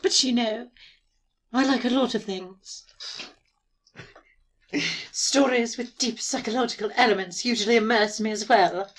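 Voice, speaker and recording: A woman's voice: a little high, a little slow, pauses often. Woman playing shy bookish schoolgirl, put-on British accent. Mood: thoughtful, absorbed. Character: shy, gentle, bookish schoolgirl, passionate about novels. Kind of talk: hobby voice-over of visual novel game.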